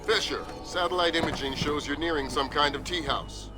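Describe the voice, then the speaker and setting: An actor playing an authoritative middle-aged mission commander in a video game, giving brisk, urgent orders. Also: male voice, a little high, music in the background, natural voice. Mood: serious.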